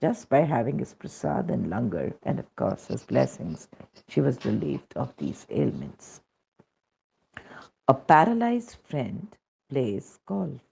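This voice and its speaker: A man with an Indian accent reads a scripted devotional testimony in a measured, solemn manner, on a dull recording. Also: mid-range voice, huge pitch swings, gravelly, a little mellow, breathy edge.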